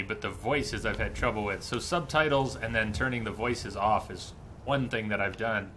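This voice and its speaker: Bright-voiced man musing over gameplay. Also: on the low side. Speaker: video game streamer. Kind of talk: casual live gameplay commentary. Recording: faint game rain behind voice. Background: game ambience and rain.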